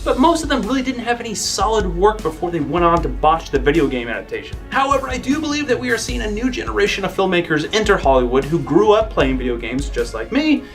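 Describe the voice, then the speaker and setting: Man, young adult, American, high voice, opinionated web host, scripted commentary, faint music behind. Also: big pitch swings, quick pace, hardly any pauses. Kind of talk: scripted video essay commentary.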